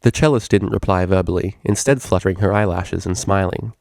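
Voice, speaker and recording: Low, mellow, gravelly male story narrator. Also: brisk, dry recording, studio quality. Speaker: fan fiction reader.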